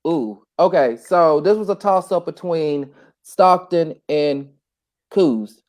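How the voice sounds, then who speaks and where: A man as a podcast guest in a casual sports chat, playful and thinking out loud as he weighs his choices. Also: on the high side, slow pace.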